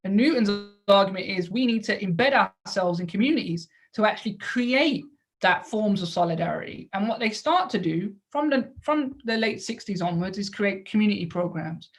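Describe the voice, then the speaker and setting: A woman's voice: mid-range voice, animated, normal speed. Woman giving an explanatory lecture. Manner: explanatory, with rhetorical questions and check-in tags. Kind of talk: lecture to an audience.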